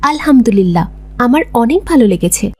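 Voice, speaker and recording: Woman playing pious young girl, highish mellow voice, earnest, patient, preachy, cartoon. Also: expressive, fast talker, breathy edge. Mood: polite, content. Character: devout, modest orphan schoolgirl, patient and earnest. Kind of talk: Bengali moral cartoon voice acting.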